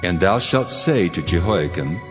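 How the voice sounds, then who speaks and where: Casting: a solemn, commanding God in dramatized scripture; very deep voice over clear music. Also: male voice, animated, a little slow.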